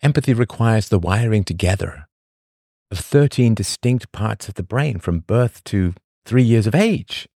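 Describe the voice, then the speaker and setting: A man with a low voice reads a scripted podcast monologue. His pitch rises and falls widely as he asks rhetorical questions and leans on emphatic pauses. The recording is dry and close, with no room sound. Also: expressive, gravelly, mellow, slightly rough, dry recording. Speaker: podcast host.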